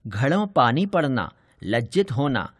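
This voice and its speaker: A man's voice: medium pitch, big pitch swings, unhurried, no echo, clean studio sound. A man reading a scripted educational lesson for children as a teacher. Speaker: teacher narrator.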